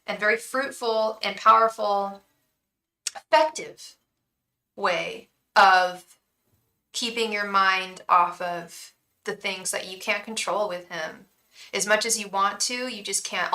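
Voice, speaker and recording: A young woman with a calming voice, reading tarot live for viewers in a chatty, reassuring way, full of restarts and "um"s. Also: medium pitch, echoey room. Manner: addresses viewers fondly as "love". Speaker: tarot reader and psychic.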